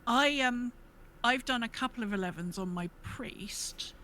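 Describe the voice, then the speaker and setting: A woman's voice: fairly high pitch, a little slow, pushed and bright, slight background noise. A woman co-hosting a gaming podcast, talking in an informal, conversational way. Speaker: podcast co-host. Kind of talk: casual chat about gaming.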